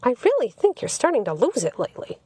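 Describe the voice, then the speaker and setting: A woman's voice: fairly high pitch, huge pitch swings, fast talker, dark tone, slightly rough, dry recording, clean studio sound, pitched above the performer's own voice, exaggerated voice. A woman voicing a sleepy, exasperated young woman in an audiobook story, questioning and lightly complaining. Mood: puzzled, exasperated.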